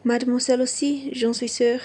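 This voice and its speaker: A woman plays a respectable, smug bourgeois gentleman in a radio play. The voice is fairly high and breathy-rough, with little melody and measured formality. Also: little pitch movement. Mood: self-assured. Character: smug, respectable, well-mannered male bourgeois traveller. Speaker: student actor. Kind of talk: radio play acting in French.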